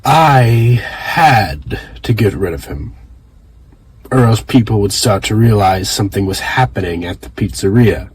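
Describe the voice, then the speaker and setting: A man playing a weary, earnest father who speaks haltingly to his child in a fan audio drama. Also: fairly low pitch, lively intonation, slight background noise, poor audio. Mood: guilty, halting.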